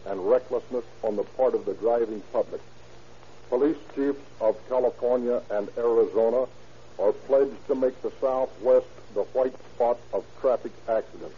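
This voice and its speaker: A man with an American accent reads a formal address in a stiff, solemn way. His voice is very dark, on a muffled 1930s radio recording with faint noise. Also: medium pitch. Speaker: police captain, guest speaker. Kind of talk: formal prepared public address.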